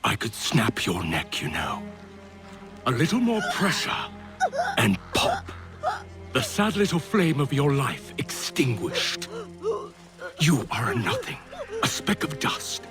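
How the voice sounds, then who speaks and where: An actor playing a menacing, arrogant colonial villain who talks down to his victim in a slow, deliberate, condescending way in a video game. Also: medium pitch, wildly swooping pitch, over music. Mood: quiet menace. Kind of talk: dramatic video game cutscene acting.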